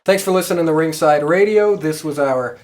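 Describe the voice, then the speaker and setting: A man hosting a podcast in casual conversation, with a rambling, halting delivery. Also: a little high, a little mellow. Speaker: podcast host. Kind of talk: casual chat.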